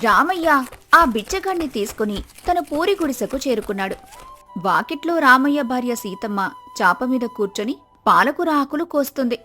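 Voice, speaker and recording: A woman's voice: high voice, lively intonation, moderate pace, pushed and bright. A woman narrator telling a scripted moral story. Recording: music behind the voice.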